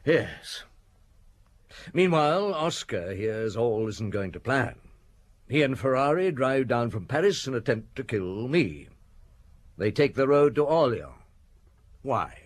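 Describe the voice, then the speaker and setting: An actor playing a shrewd, relentless chief inspector in a radio detective drama, speaking deliberately with probing questions and dramatic pauses. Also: male voice, medium pitch, sing-song. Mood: confident, probing. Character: shrewd, relentless male French police chief inspector summing up.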